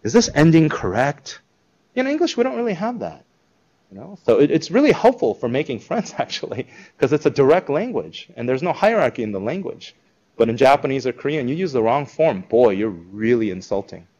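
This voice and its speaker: A man giving an informal talk to a live audience, conversational and animated, acting out quoted reactions. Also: medium pitch, a little fast, lo-fi sound. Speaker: speaker presenting a school project.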